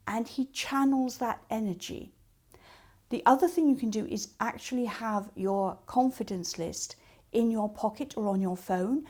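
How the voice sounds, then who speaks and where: Woman coach, higher-pitched voice, presenting practical self-help tips in clear steps with spoken examples. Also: warm tone. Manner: explains step by step with acted-out example remarks. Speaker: creative coach giving advice.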